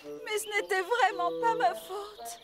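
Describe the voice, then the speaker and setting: An actress voicing a proud, put-upon young wife in a French cartoon dub. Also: female voice, very high-pitched, fairly even intonation, unhurried, over a noisy crowd. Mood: defensive. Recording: music under the voice.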